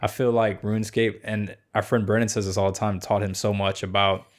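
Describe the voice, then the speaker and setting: A young man chats casually as a podcast host. His voice sits on the low side, and he rambles, stacking fillers and restarts as he talks. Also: flat delivery, fairly quick.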